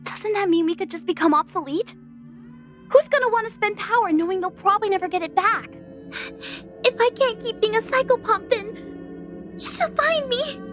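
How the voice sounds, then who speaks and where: A woman playing a cocky, bouncy young psychopomp assassin in an audio drama, with a playful, excitable delivery. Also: very high-pitched, normal speed, faint music behind. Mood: worried. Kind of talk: audio drama character acting.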